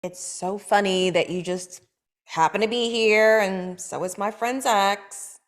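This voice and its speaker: Woman, table read, dry, blunt, quick comebacks, middle pitch. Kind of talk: script table read, playing Sasha.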